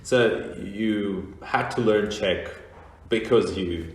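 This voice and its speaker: A man hosting a podcast interview, asking questions in a slow, halting way with small laughs. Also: lowish voice. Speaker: podcast host. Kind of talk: casual interview. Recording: echoey room with faint noise.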